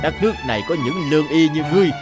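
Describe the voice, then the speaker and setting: A man's voice: on the high side, lively intonation, rapid-fire, music in the background, lightly acted. An actor playing a stern but magnanimous king in a cartoon, speaking in a grand, declamatory manner. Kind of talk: cartoon voice acting.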